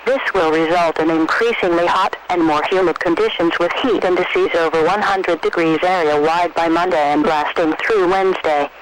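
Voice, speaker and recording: A man's voice: a little high, fairly even intonation, moderate pace, hardly any pauses. A synthetic-sounding man reads formal weather reports impersonally as an automated announcer heard over radio. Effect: radio broadcast reception. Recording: faintly noisy weather-band radio reception.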